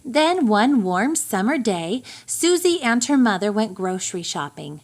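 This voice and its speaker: Slow, expressive woman reading a storybook. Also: fairly high pitch. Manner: expressive, deliberate storytelling for young children. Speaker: storybook reader. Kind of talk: children's picture book read-aloud.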